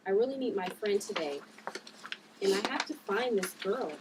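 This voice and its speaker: A woman's voice: mid-range voice, animated, normal speed, lightly acted. A woman at a table read playing an earnest, principled church-goer who acts as a vigilante. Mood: pleading. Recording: distant mic, noisy room.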